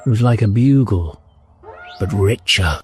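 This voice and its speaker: A man's voice: lowish voice, expressive, a little slow. A man reading a children's fantasy audiobook as narrator, in a dramatic storytelling style.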